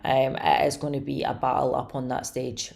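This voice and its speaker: A woman with a slightly hoarse, raspy voice, chatting casually and eagerly to camera about her contest predictions. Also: rumbling low, completely flat delivery, normal speed, heavy background noise. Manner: chatty, enthusiastic, many fillers. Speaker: bodybuilding commentator.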